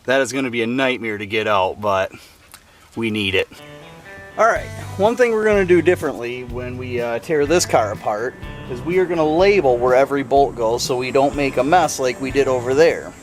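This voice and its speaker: A man's voice: medium pitch, normal speed. Guy doing a diy car vlog, mid-range voice, just casually walking viewers through it, music in there. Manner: informal, hands-on explaining, addressing viewers. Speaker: car restoration vlogger. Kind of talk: casual do-it-yourself vlog narration.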